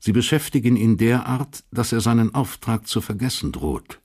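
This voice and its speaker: An actor as the detached narrator of a historical crime radio drama, measured and factual. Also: male voice, mid-range voice, expressive, darkish tone, breathy edge.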